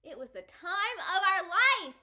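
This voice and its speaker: A woman voicing a brash, chatty, excitable little girl in an animated children's book read-aloud, with dramatic exclamations and emphatic repetition. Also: very high-pitched, unhurried, pitched above the performer's own voice, heavily acted. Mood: eager, hollering.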